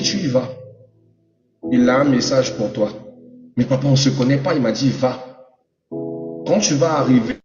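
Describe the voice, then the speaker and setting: Fervent preacher in echoing hall. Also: male voice. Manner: fervent, rising to high emphatic peaks, addressing the congregation. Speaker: apostle preaching. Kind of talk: sermon teaching with personal testimony. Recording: roomy hall reverb, distant mic, dull sound, music behind.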